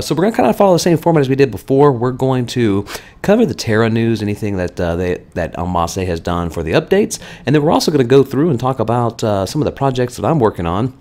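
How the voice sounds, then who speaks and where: A man hosting a weekly game news update in a chatty, conversational way, his voice slightly hoarse. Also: lowish voice, a little mellow. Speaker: gaming channel host.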